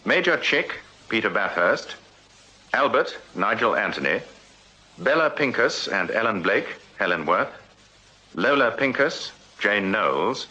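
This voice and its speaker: A man's voice: lowish voice, sharp tone. A man with a British accent reading scripted credits as a radio announcer in a formal broadcast style. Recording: dull, lo-fi radio recording.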